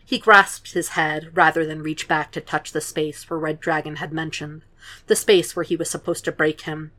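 A woman narrating a fan fiction podfic in a quiet, measured reading voice. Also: low voice, fairly even intonation.

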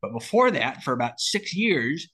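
A man's voice: fairly high pitch, very animated, moderate pace, a bit bright, breathy edge. A man in his late twenties explaining story ideas in casual talk, peppered with "like" and "you know". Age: late 20s. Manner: explanatory, frequent filler "like". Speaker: writer collaborating on a story. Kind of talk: casual creative discussion.

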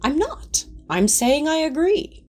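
A woman's voice: high-pitched, sing-song, slow pace, darkish tone, full character voice. A woman playing a fussy, placating, easily affronted male companion in a fan audio drama, prim and coaxing.

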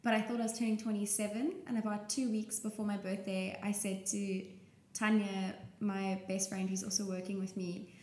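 A woman's voice: mid-range voice, little pitch movement, moderate pace, darkish tone. A woman in her twenties talking candidly and casually as an interview guest. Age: 20s. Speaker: interview guest, small business owner. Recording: roomy echo with clear background noise.

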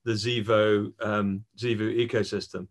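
A man interviewing a guest casually, haltingly rephrasing his questions as he goes. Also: on the low side, flat delivery.

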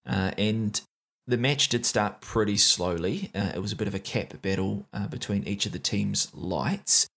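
A man's voice: lowish voice, moderate pace, gravelly, fairly bright tone. A man with a New Zealand accent giving a casual recap of a competitive game match, with frequent fillers and restarts. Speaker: esports match recap caster.

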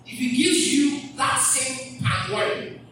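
Slow-preaching pastor in a hall. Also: male voice, extremely high pitch. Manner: emphatic preaching, repeats phrases, asks the congregation questions. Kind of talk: live church sermon. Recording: distant mic in an echoey hall with background noise.

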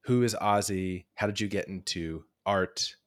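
A man's voice: low voice, a little slow, fairly bright tone, no room sound, studio quality. A man co-hosting a podcast and interviewing a guest in a casual, conversational style.

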